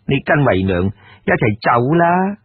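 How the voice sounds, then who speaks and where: Guy doing a sighing cantonese brothel madam from a storybook, husky, phone-like sound. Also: medium pitch, sing-song, takes it slowly, dry recording, exaggerated voice. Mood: resigned. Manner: sighing, coaxing. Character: worldly, sighing brothel madam of ancient times. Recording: muffled, narrow phone-grade audio.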